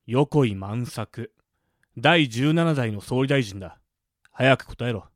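An actor playing a cold, vengeful older brother who speaks in terse commands in a radio drama. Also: male voice, mid-range voice, lively intonation, slow, no room sound, studio quality. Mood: cold, commanding.

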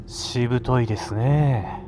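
A man's voice: mid-range voice, unhurried, natural voice. A man acting a polite, taunting interrogator's accomplice in an amateur radio drama. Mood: polite menace. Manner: courteous, taunting. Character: smoothly polite male interrogator, menacing accomplice.

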